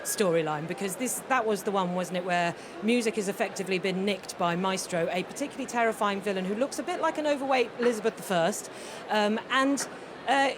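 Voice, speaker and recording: Woman, radio interviewer, chatty, enthusiastic, mid-range voice, noisy concert hall. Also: mid-range voice. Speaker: radio presenter interviewing guests. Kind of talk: live broadcast interview. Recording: background noise of a busy venue.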